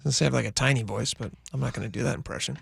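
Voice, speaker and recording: A man's voice: medium pitch, rapid-fire, gravelly, breathy edge, dry recording. A man co-hosting a radio show, chatting and joking in a casual, self-deprecating way. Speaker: radio co-host. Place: radio studio. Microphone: studio microphone.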